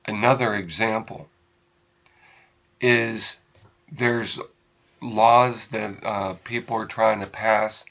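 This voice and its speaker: An American man giving unscripted political commentary as a radio host, in halting, deliberate phrases with emphasized words. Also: on the low side, steady tone, a bit bright, dull audio.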